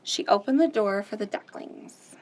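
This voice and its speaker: A woman hosting a children's storytime, reading picture books aloud gently and asking the kids questions. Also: medium pitch, low-quality recording. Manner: gentle, slow, sing-song, asks children questions.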